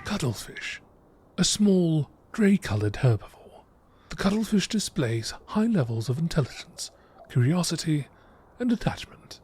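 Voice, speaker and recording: A man giving a measured, informative scripted narration in the style of a nature documentary. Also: on the high side, sing-song, darkish tone, slight background noise. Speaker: reader of video game text.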